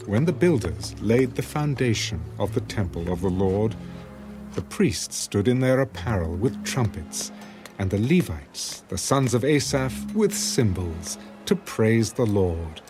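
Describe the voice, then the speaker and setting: A man narrating scripture for a dramatised audiobook in solemn, measured phrases. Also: on the low side. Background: music.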